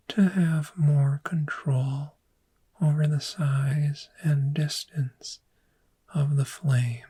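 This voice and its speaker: A woman voicing a calm, patient dragon therapist in a gentle, breathy, soft-spoken ASMR roleplay, with measured, reassuring instruction. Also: sits low, exaggerated voice. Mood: patient explaining. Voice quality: breathy, whispery.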